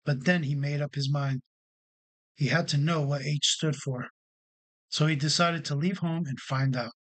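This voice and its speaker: A man's voice: a little high, fairly even intonation, moderate pace, a bit bright. A man narrating a children's storybook as a read-along storyteller.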